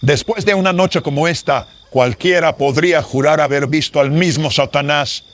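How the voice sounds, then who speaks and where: A man's voice: a little high, normal speed, natural voice. A man playing a solemn, formal character with a painful secret in a horror radio drama. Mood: grave, confiding. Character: man with a painful secret, reassuring a frightened priest. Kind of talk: Spanish-language horror radio drama acting.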